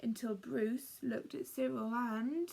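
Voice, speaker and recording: A woman narrates a rhyming children's story in a notably high voice. Her pace is slow and measured, her tone breathy. She reads dramatically, letting some phrases drop into a hush. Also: fairly even intonation. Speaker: storyteller reading a picture book to young children.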